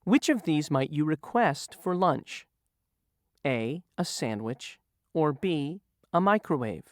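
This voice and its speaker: A voice of middling pitch reads quiz questions with lively rises and falls, pausing on the choices before naming the answer. The sound is dry and close. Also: dry recording. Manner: poses a choice, then confirms the right answer. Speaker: quiz reader. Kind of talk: lesson quiz narration.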